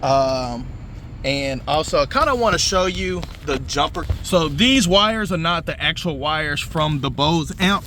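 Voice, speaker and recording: A man with a slightly high voice chats informally to his viewers as he works. His talk is slangy and loose, with casual swearing. Clear vehicle and outdoor noise runs through the recording. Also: lively intonation, measured pace, pushed and bright. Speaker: car audio vlogger. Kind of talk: casual hands-on install vlog talk. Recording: noisy vehicle and outdoor phone-video sound.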